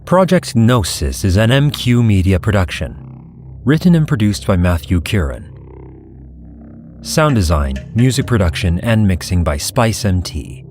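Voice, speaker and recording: A man's voice: fairly low pitch, big pitch swings, unhurried, darkish tone, a little hiss or hum. A man reading a scripted credits announcement. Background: music.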